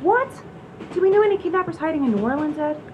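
A woman's voice: lively intonation, fast. A woman acting a scatterbrained, cheeky train passenger who dodges questions in a radio comedy. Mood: surprised, brisk. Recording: muffled recording over loud train rumble.

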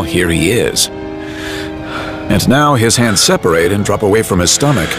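A man narrates a dramatised story in a lowish, very expressive voice. His delivery is wry and colloquial, with music underneath. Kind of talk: dramatised audiobook narration in present tense.

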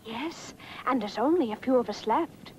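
A woman's voice: a little high, expressive, moderate pace, slight background noise, close to an everyday voice. A woman voicing a tiny, coaxing female leprechaun with a showy sales patter in a cartoon. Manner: sweet-talking, persuasive, showy. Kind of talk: 1960s animated cartoon acting. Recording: dull lo-fi old cartoon soundtrack, music behind.

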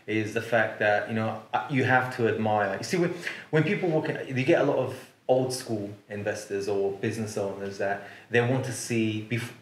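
A man in his thirties hosting an interview, earnest and quick to agree with his guest. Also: fairly low pitch, roomy sound, low-quality recording. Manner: earnest, affirming, repeats agreement. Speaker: interviewer host. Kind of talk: conversational interview. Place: office lounge, indoors.

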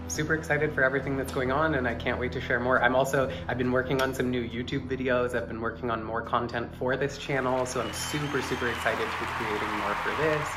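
A man in his thirties chatting casually to camera in an upbeat, effusive way as a vlogger. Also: mid-range voice. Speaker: vlogger and musician. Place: small room indoors. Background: instrumental music.

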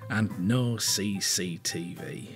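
An older British police inspector in an audio drama. His voice is fairly low, and he talks in a dry, weary, natural way. Faint music can be heard. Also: slow pace. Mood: exasperated.

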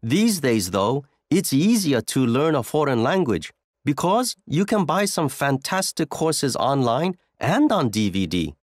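A man acts as a chatty language learner making scripted small talk. His mid-pitched voice is very expressive, recorded dry. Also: measured pace, no room sound. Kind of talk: scripted small talk for an English listening exercise.

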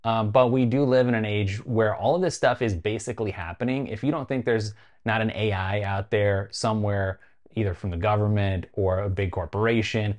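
A North American man presents a tech explainer in a fairly low voice. His delivery is casual and conversational, with emphasis on key phrases, as a host talking to camera would sound. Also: fairly even intonation, normal speed, darkish tone, muffled recording. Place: small home studio room, indoors.

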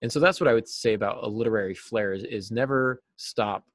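A middle-aged man with a lowish voice talks in a conversational interview. He pauses mid-thought and picks his words as he goes, and the sound is dry with little room in it. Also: no echo. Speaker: guest, novelist and podcast host. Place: small cluttered office, indoors.

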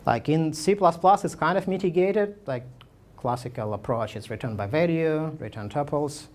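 A man's voice: on the high side, animated, moderate pace, a little mellow. A man in his thirties giving a conference talk with slides, speaking casually with many fillers and restarts. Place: meetup room, indoors.

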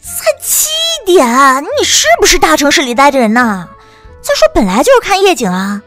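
A gushing, nosy young woman, played by an actress in an audiobook drama. Her voice is high and very animated, full of teasing, wheedling exclamations. Music runs softly behind her. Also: normal speed. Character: bubbly, nosy young married woman, gushing best friend.

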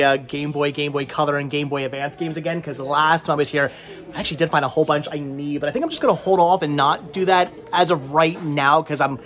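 A man in his thirties hosting a casual shopping vlog with a jokey, teasing manner, talking into a handheld camera in a small shop. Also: on the high side. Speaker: vlog host, movie collector. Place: indoors, small cluttered movie and game shop. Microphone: handheld camera at arm's length.

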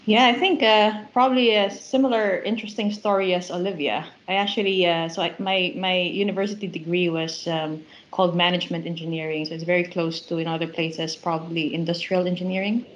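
A woman's voice: on the low side, level tone, brisk, hardly any pauses, lo-fi sound, a little hiss or hum. A woman from the Philippines, a plant manager, talking in a thoughtful way with many fillers on a video call. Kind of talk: casual video-call chat.

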